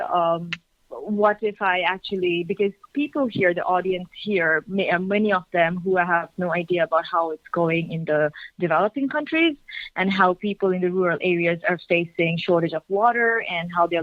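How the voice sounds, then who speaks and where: Soft-spoken woman from Bangladesh on the phone. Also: on the low side, normal speed, dry recording. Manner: soft-spoken, searching for words with many fillers. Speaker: documentary filmmaker. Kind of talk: phone interview. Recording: muffled phone line.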